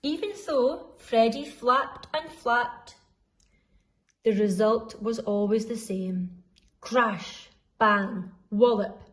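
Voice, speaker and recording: A woman reading a children's story aloud expressively, deliberately drawing out the dramatic sound words. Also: a little high. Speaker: school storyteller.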